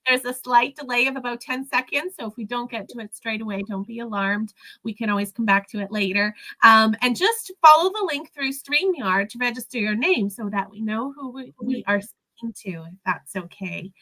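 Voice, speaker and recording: A woman hosting a live stream, a little hoarse after an illness, upbeat and welcoming as she sets up the show. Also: a little high, normal speed, pushed and bright, rough recording. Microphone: video call mic.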